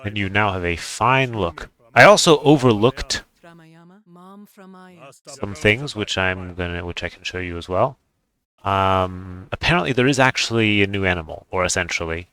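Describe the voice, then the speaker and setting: Deep-voiced man, casual game commentary. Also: normal speed, bright, forceful tone. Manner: offhand, rambling, thinking aloud. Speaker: gaming video creator.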